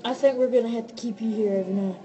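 Mellow, accusing police detective played by a young woman. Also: on the high side, steady tone, very fast, close to an everyday voice. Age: young adult. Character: accusing, hard-nosed police detective questioning a murder suspect. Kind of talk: amateur student radio play. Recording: muffled, faintly noisy amateur recording.

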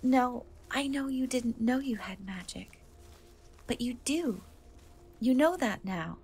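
A woman playing a gentle, wistful young woman cursed into a half-cat, in a soft, hesitant dark fantasy ASMR roleplay. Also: fairly high pitch, expressive, breathy edge, heavily acted. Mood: earnest. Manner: hesitant, soft, slow, often trailing off.

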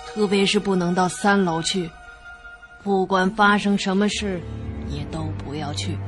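A man playing an ominous innkeeper warning guests in a suspense radio drama, with drawn-out, foreboding delivery. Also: sits high. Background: background music.

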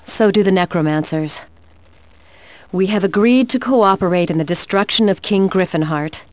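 A woman playing a resolute, grave warrior queen in a video game, giving war briefings in a measured, formal, commanding way. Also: mid-range voice, no room sound, natural voice. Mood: grim resolve.

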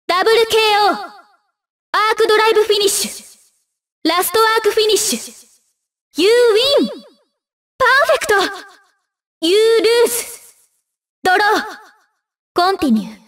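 Young anime fighter girl as game announcer, japanese accent, super high voice, short punchy calls, bit far from mic. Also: animated, unhurried, pauses often, a bit bright, heavily acted. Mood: emphatic. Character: young woman church fighter announcing matches.

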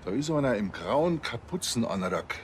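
An actor playing a chatty apartment-block caretaker in a crime comedy, colloquial and rambling, with a Bavarian accent. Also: male voice, medium pitch, sing-song, moderate pace, a little hiss or hum, natural voice. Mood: helpful, gossipy.

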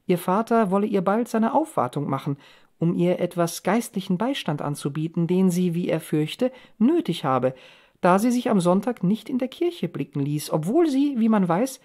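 An excited young woman played by a female audiobook reader shares village gossip. She is chatty and emphatic, her pitch lifted and bursting with eagerness to tell. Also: moderate pace, close to an everyday voice. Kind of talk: audiobook character dialogue.

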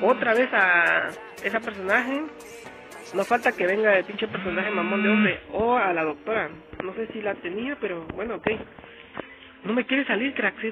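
A young man, giving casual, slangy gameplay commentary as a youtuber, excitable and repeating himself. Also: sits high, muffled recording. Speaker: gaming youtuber. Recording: game music underneath. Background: game music.

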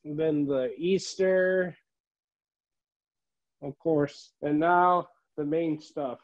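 A middle-aged man giving a casual show-and-tell tour as a collector, listing items haltingly, recorded indoors on a handheld phone. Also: fairly high pitch, fairly even intonation. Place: room at home, indoors. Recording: dull, muffled phone audio.

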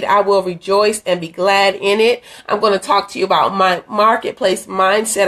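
A middle-aged woman, hoarse from a cold, chatting earnestly into a phone at arm's length in a dim room, inviting viewers to an event. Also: mid-range voice. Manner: chatty, earnest, with many fillers and restarts. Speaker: ministry leader promoting an event. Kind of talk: casual live-stream invitation. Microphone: phone held at arm's length.

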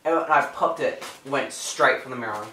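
A man's voice: medium pitch, normal speed, pushed and bright. A young man chatting casually in a bedroom vlog, rambling with lots of "like". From Australia. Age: young adult. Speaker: vlogger. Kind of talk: casual chat. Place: small bedroom at night. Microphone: far camera. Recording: roomy, distant, noisy camera audio.

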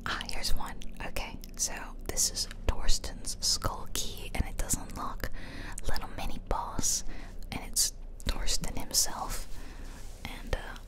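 Whispering woman in slow ASMR game commentary. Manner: soft, playful, drawn-out whispering. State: tired. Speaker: game streamer.

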